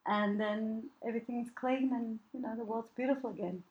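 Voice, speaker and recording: A woman's voice: a little high, steady tone, a little fast, dull audio. A woman co-hosting a podcast, chatting and laughing at herself while shivering in a cold apartment full of moving boxes. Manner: laughing, self-deprecating, storytelling. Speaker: podcast co-host. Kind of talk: casual chat.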